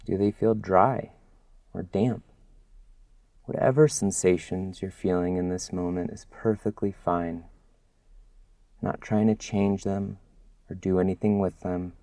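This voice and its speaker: A man leads a guided meditation in a low, level voice. He speaks very slowly and gently, leaving long soothing pauses between short phrases. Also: steady tone, no room sound. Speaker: mindfulness instructor. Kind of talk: guided body scan meditation.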